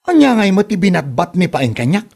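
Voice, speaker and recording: A man's voice: sits high, big pitch swings, measured pace, a little mellow, slightly rough, full character voice. A man playing a boastful, scoffing young man in a comedy audio drama, exclaiming and mocking. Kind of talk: Ilocano comedy radio drama acting.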